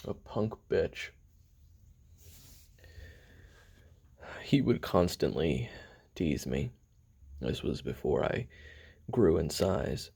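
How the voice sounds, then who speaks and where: A man playing a brooding, hot-tempered assassin in an audio roleplay, recounting his memoirs in a halting, casual, profane confessional with a gravelly, husky voice. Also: a bass voice, sing-song, a little mellow, full character voice. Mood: bitter, reflective. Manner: halting, casual, profane, with sighs and trailing pauses.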